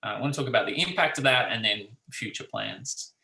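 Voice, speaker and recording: Male host, rambling intro, gravelly and bright, roomy echo. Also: medium pitch, expressive, brisk. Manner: rambling, filler-heavy. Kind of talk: introducing a talk. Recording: echoey room, distant mic.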